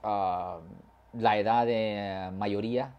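A man's voice: lowish voice, fairly even intonation, extremely slow, muffled recording. Man, criminal lawyer, long explanations, switches into English words. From California, USA. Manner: explains at length, mixes English words into Spanish. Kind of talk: conversational legal explanation.

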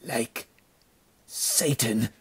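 A man voicing a dying, desperate bandit in halting, strained phrases for an adventure story. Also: mid-range voice, expressive, breathy edge, no echo, voice pushed up. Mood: fading. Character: mortally wounded bandit, staggering and desperate. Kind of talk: dramatic storybook character acting.

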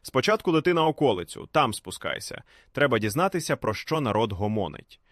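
A man's voice: medium pitch, sing-song, a little fast, fairly bright tone, no room sound, clean studio sound, pitched above the performer's own voice. A man voicing a bold, courteous Cossack hero in a folk tale. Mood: decisive.

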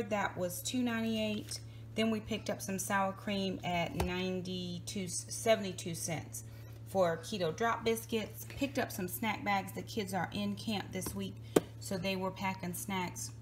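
A woman's voice: mid-range voice, a little hiss or hum. Chatty woman, phone in kitchen. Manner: chatty, relaxed, with fillers and self-corrections. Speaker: vlogger. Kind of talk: casual grocery haul narration. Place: home kitchen.

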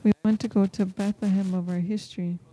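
Female live sermon interpreter, very soft-edged dark tone, halting phrasing. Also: mid-range voice, little pitch movement, husky, poor audio. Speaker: interpreter voicing a priest's homily in English. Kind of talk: live spoken translation of a sermon.

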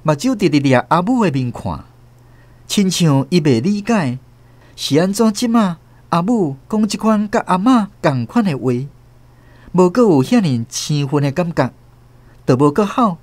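Animated low-voiced woman narrating, Taiwanese accent. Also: unhurried, dry recording. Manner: expressive storytelling. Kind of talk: dramatic scripted narration of a radio story.

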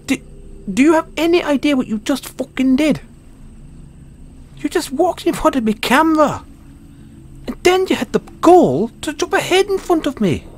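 A man's voice: very high voice, big pitch swings, normal speed, long pauses, slight background noise. A man with a British accent playing a cocky, foul-mouthed, stammering Dullahan social media wannabe in a comedic audio roleplay. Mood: indignant, exasperated. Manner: stammering, sweary, boastful.

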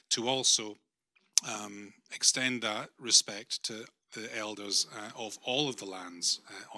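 A man's voice: fairly low pitch, bright, forceful tone. A middle-aged man, a university leader, giving a formal vote of thanks in a lecture room, speaking into a handheld microphone with many ums and restarts. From not Australia. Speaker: university vice-chancellor.

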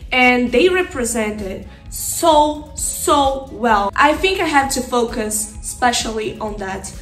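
A young woman speaks in a somewhat high voice. She chats casually and with gushing excitement. The room rings around her voice, with some music behind it. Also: echoey room. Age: young adult. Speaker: YouTuber and streamer. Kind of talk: casual reaction video chat. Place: small decorated streaming room at home.

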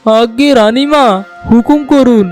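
A man's voice: extremely high pitch, unhurried, a little mellow, soft background music. A man voicing an obsequious, scheming henchman of a wicked queen in a cartoon fairy tale. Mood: deferential.

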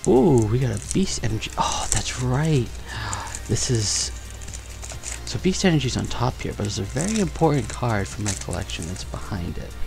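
A young man chatting casually and excitedly while opening trading cards in a bedroom, on a headset mic. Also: fairly low pitch, gravelly. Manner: upbeat, chatty, excited exclamations. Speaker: card collecting vlogger. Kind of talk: casual unboxing chat. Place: bedroom studio, indoors.

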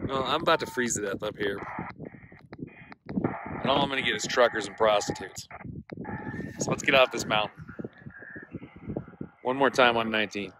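A man's voice: medium pitch, normal speed, pauses often. A man doing a casual field test outdoors, talking in a blunt, grumbling way. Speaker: radio gear reviewer. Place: outdoors on a mountain top. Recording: noisy outdoor recording with radio interference.

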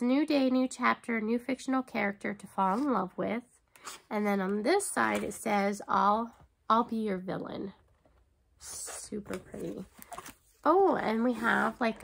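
A woman in her thirties, chatty and excitable, talking casually to camera at home while unboxing, with a stuffy nose and lots of ums. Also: mid-range voice, gravelly, slight background noise. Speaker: book box unboxing vlogger. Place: bookshelf-lined room at home.

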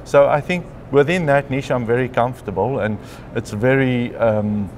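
A man in his fifties with a South African accent, telling his story in a relaxed conversational interview, recorded on a lapel mic in a large glass atrium. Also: medium pitch, moderate pace, slight background noise. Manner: chatty, frequent ums and clears throat. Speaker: interviewee, mycologist.

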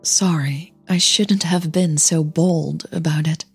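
Woman acting kind, very breathy, reassuring woman. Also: low voice, steady tone, slow, faint music behind, exaggerated voice. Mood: gentle, reassuring. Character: kind, sincere woman singer, gently reassuring.

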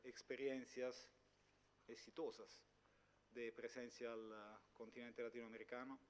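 A man, giving a formal conference presentation in a language that is not his first, hesitant and full of filler sounds, seated at a table microphone in a large hall. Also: medium pitch. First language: Italian. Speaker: company executive speaker.

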